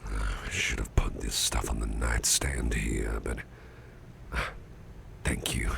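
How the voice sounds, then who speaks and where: A man playing a weary, courteous businessman boss in an intimate ASMR roleplay, murmuring in a gravelly, breathy near-whisper with folksy hesitations. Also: extremely low pitch, little pitch movement, takes it slowly, full character voice. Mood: polite, grateful. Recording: clearly audible background noise.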